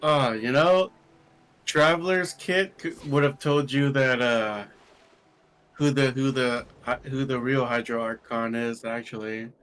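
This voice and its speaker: A man's voice: medium pitch, unhurried, fairly bright tone, clean tone, low-quality recording. Offhand young guy chatting about games. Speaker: streamer's friend on voice chat. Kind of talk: casual gaming chat.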